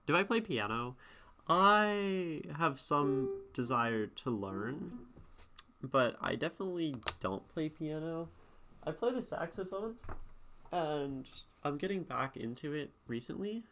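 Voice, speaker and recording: A woman's voice: low-pitched, expressive, slow pace, noisy background. Thoughtful rambling woman streamer. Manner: thoughtful, rambling, with frequent restarts. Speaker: streamer and poetry critic. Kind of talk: casual live-stream chat, reading and answering chat.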